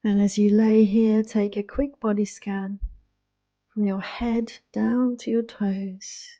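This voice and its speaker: A woman guiding a yoga nidra relaxation in a soothing, hushed, unhurried manner, close to the microphone. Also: medium pitch, flat delivery, no room sound, highs cut off. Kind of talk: guided relaxation meditation.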